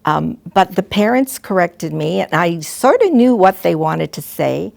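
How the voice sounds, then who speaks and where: An older woman, a retired school principal, telling gentle, halting stories and advice to students. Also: on the low side, sing-song, gravelly, dry recording. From Canada. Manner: gentle, halting, with frequent restarts and soft asides. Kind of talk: reflective storytelling to students.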